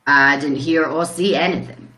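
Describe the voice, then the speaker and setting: A woman's voice: low voice, normal speed, pushed and bright. A woman playing a plain-spoken country farmer who gives a rambling, folksy account in a radio play. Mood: folksy, puzzled. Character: plain-spoken rural male farmer recounting a shock. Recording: roomy, echoing sound.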